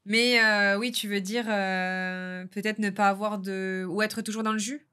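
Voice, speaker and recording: A woman hosting a podcast interview, friendly and agreeable, tossing in quick follow-up questions. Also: mid-range voice, steady tone, bright, forceful tone, smooth. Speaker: podcast host. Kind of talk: casual interview chat.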